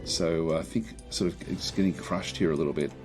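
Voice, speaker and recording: A man with a croaky voice casually talking through a strategy game, thinking aloud with many fillers and restarts. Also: deep voice, a little fast, music in the background. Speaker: gameplay commentator.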